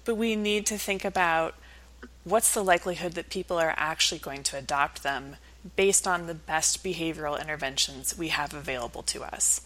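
Casting: woman with a slightly low voice, academic interviewee, explains things in conversational, example-led answers. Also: normal speed, a bit bright, rough recording. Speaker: interview guest, academic researcher.

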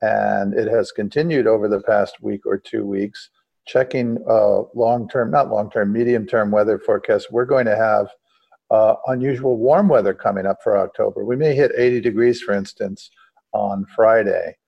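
A low-voiced American man discusses environmental news as a podcast co-host, with a gravelly, husky delivery. He qualifies and corrects himself as he goes. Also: steady tone, normal speed. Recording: dull, muffled lo-fi audio.

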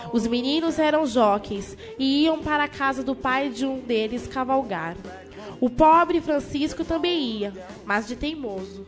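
A woman with a Brazilian accent as the storytelling narrator of a scripted radio drama. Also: sits high. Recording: prominent music behind the voice.

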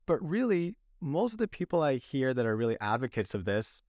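A man's voice: mid-range voice, big pitch swings, fairly quick, a little mellow, no echo. Young guy hosting a podcast, earnest and rambly, mid voice, dry close mic. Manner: earnest, rambling, many restarts and fillers. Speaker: podcast host. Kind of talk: casual podcast interview.

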